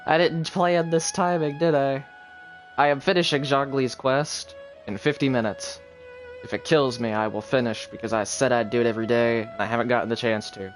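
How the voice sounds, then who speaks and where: A man's voice: mid-range voice, steady tone, moderate pace. A man streaming a video game live, reacting aloud with casual, informal commentary. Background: faint game music.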